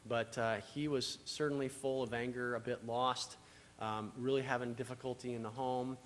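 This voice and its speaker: A man's voice: mid-range voice, little pitch movement, normal speed, a little hiss or hum. Man addressing a church, hesitant. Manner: hesitant, many filler uhs. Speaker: church relations speaker for a social services agency. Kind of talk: speaking to a congregation. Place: church sanctuary. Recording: distant mic in a reverberant church.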